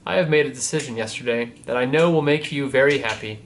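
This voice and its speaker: A man's voice: medium pitch, fast, slight background noise, lightly acted. A man voicing a kindly old Pope in a storybook, speaking in an assured, fatherly way.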